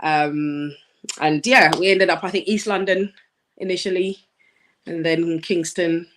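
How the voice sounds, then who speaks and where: A woman in her thirties from Nigeria, a guest in a casual chat, thoughtful and quick to laugh. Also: fairly low pitch, steady tone, fairly bright tone. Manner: laughs often, thoughtful, some ums.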